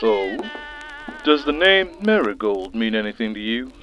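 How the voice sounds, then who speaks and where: A man playing a smug, teasing treasury agent in an audio drama, with a sardonic, smooth-talking manner. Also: fairly low pitch, very animated, measured pace. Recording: dull, band-limited, music behind.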